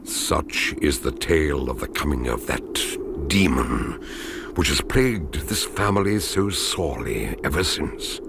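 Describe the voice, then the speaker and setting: An actor playing a grave British ancestor who solemnly recounts a dreadful family legend in a gothic radio drama. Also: male voice, very deep voice, lively intonation, creaky, heavy background noise, exaggerated voice. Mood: grave warning. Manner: slow-building, ominous, solemn, archaic storytelling.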